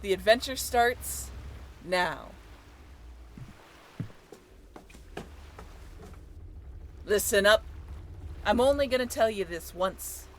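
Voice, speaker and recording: A woman's voice: mid-range voice, lively intonation, a little slow, a little hiss or hum, close to an everyday voice. A young woman playing a friendly, teasing sailing-ship crew member in an amateur audio roleplay, chatty and informal with a joking streak. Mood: rousing, commanding. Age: young adult.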